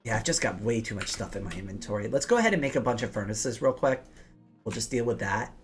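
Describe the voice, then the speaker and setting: Woman streamer, kinda hoarse rattly voice, chatting thru her game plans, music in the background. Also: extremely low pitch, animated, fast. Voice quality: rough, slightly hoarse and rattly. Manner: chatty, explains plans aloud while playing. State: hoarse from days of coughing. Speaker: livestream host. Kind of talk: casual game livestream chat. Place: home office.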